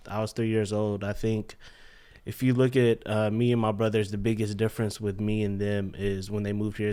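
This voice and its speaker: A man in his twenties from the Philippines, a podcast guest talking in a relaxed, friendly way and often saying man. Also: on the low side. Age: 20s. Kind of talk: casual interview.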